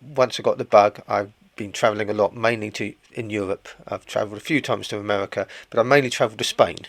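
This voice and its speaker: Gravelly older man in his sixties. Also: lowish voice, steady tone, fast talker. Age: 60s. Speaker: interviewee.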